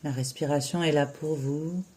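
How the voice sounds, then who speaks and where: A yoga nidra teacher guiding a relaxation in a soothing, unhurried way, with long pauses between short phrases. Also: medium pitch, fairly even intonation, a little mellow. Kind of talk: slow guided relaxation meditation.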